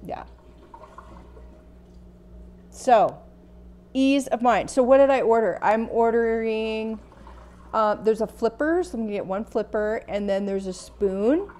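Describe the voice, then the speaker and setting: A woman's voice: a little high, expressive, measured pace, long pauses, a little mellow. A middle-aged woman chatting casually while demonstrating kitchen tools, repeating phrases and often tagging on "right?". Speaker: vlogger. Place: home kitchen, indoors. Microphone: earphone headset mic, phone held close.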